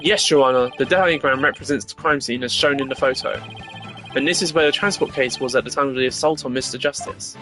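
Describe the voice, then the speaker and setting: A man's voice: mid-range voice, a little fast, sharp tone, close to an everyday voice. A man voicing an earnest, confident male defense attorney in a courtroom game, speaking assertively. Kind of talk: dramatic visual novel courtroom reading. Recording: game music behind the voice.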